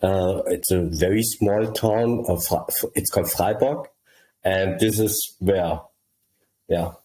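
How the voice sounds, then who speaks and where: A man with a German accent, the guest on a podcast interview, talking in a halting way with many repeated words. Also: low voice, warm tone, roomy sound, rough recording. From Laos. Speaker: guest, project creator. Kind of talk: casual interview talk.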